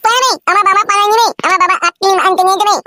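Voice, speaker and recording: A man voicing a cheeky, whiny small village child in a comic cartoon, with artificially raised pitch. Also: steady tone, very fast, sharp tone, no room sound, studio quality, full character voice. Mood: cheeky, insistent.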